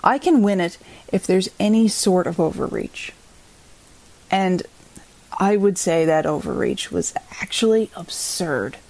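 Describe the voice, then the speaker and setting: Female defense lawyer: fairly low register, thoughtful and candid, stressing key words, documentary-style audio drama. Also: lively intonation, measured pace, gravelly, a little mellow, low-quality recording. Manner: articulate, thoughtful, emphatic.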